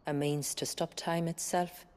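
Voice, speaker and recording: Solemn low-voiced woman storyteller. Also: steady tone, a little mellow, slight background noise. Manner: measured, formal, earnest. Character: grave village woman recounting her people's tragic past. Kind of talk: solemn scripted storytelling in video game drama.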